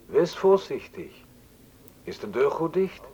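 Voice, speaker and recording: An actor in a radio drama playing a menacing kidnapper who gives curt, controlled orders, with a husky voice. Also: male voice, on the high side, sing-song, slow, mellow, full character voice. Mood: cold, threatening. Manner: controlled, commanding. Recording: muffled telephone-like sound.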